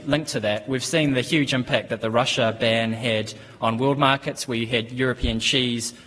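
A man in his thirties with a New Zealand accent, speaking at a lectern microphone in a conference hall. His pitch sits in an ordinary middle range, and he talks in a measured, businesslike way, pausing often with ums. The long reverb of the hall trails behind his words. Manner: measured, steady, with frequent ums and restarts. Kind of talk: business presentation.

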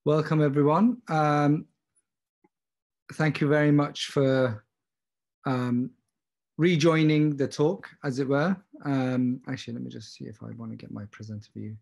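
A man, an eye surgeon, giving a relaxed webinar lecture over a computer microphone, with plenty of ums. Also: medium pitch, measured pace, gravelly. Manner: relaxed, self-deprecating, frequent ums. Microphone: computer mic on a video call.

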